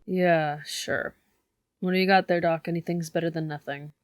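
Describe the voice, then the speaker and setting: An actress playing a jaded, sardonic police detective in an audio drama, with a weary, dry manner. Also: female voice, fairly low pitch, steady tone, moderate pace, dry recording, natural voice.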